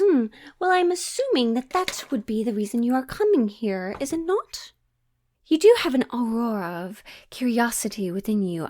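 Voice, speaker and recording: A woman playing a coy, theatrical witch who reads tarot, teasing and mysterious, coaxing the listener with dramatic pauses in an audio roleplay. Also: fairly high pitch, a little mellow. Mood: coy, inviting. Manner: slow, coaxing, endearments, dramatic pauses, breaks into near-whispers. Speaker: tarot reader.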